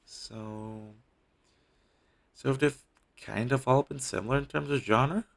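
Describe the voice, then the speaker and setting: A man playing a caring, teasing talent manager, coaxing a troubled actor in a one-sided audio roleplay with a low-quality sound. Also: vocal fry, fairly bright tone, exaggerated voice. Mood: apologetic, earnest. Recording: low-quality amateur recording.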